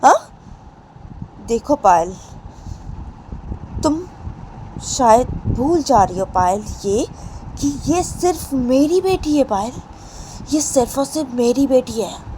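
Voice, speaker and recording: A woman's voice: high voice, animated, slow, long pauses, dark tone, voice pushed up. A woman voicing an angry father who scolds his wife and then defends himself to his daughter. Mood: scolding. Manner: scolding, repeated questions. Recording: noisy recording.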